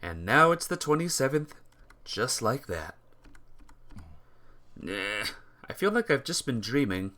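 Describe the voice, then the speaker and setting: A playthrough host reading a visual novel aloud as expressive, dramatic scripted narration. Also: mid-range voice, unhurried, long pauses. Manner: dramatic reading, acts out dialogue.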